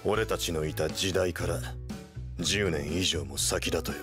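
A man voicing a cool, tough young delinquent in an anime game, terse, blunt and deadpan. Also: sits low, a little slow, over music. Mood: cool, curt.

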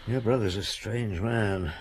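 An actor playing a probing, courteous chief inspector in an old radio drama, gruff and inquisitive. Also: male voice, fairly low pitch, measured pace, close to an everyday voice. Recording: old radio broadcast with background noise.

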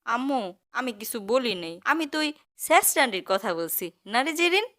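A woman's voice: high-pitched, very animated, brisk, pushed and bright, exaggerated voice. A woman with a Bangladeshi accent voicing a cheeky, dramatic young daughter in a cartoon comedy. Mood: panicked pleading.

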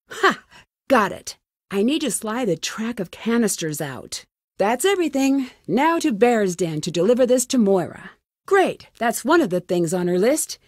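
A curious young American sleuth from an adventure game. She thinks aloud in short, wry, plucky remarks. The voice sits at a medium pitch and is recorded dry and close. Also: a little mellow, dry recording. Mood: determined. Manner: wry, self-talking, crisp short remarks. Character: curious, plucky young female amateur detective. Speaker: voice actor in a detective adventure game. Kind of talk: video game character lines.

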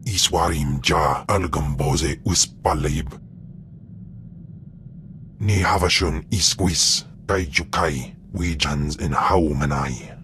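An actor playing a grave alien in a video game, delivering invented speech in a solemn manner. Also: male voice, rumbling low, slow, fairly bright tone, slight background noise, full character voice. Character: grave male alien speaking an invented tongue. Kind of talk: video game creature dialogue.

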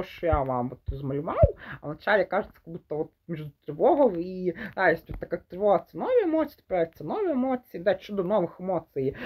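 A young man giving a casual, unscripted movie review in a halting way, often rephrasing mid-thought and mixing Ukrainian with Russian words. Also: high voice. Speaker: film reviewer.

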